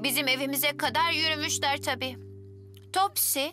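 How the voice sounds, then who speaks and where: A woman's voice: high voice, fairly even intonation, moderate pace, sharp tone, faint music behind. A woman voicing a helpful, eager little boy, earnest and boyish, in a dubbed children's drama. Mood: helpful, determined.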